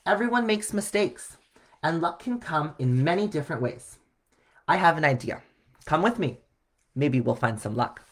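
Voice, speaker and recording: A man voicing a kindly, encouraging grandmother in a children's storybook, gentle and reassuring. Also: on the high side, lively intonation, normal speed.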